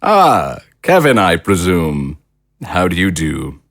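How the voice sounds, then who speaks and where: An actor playing a suave, self-satisfied political leader in an audio drama, with pompous, elaborately polite diction. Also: male voice, deep voice, wildly swooping pitch, slow, fairly bright tone, exaggerated voice. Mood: smoothly apologetic. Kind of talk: theatrical audio drama acting.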